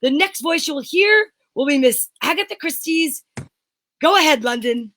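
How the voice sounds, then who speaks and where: A woman's voice: high-pitched, lively intonation, moderate pace, a bit bright. A woman playing a dramatic announcer for an old-time radio mystery, reading in a declamatory, stop-and-start amateur way. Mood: grand, presentational.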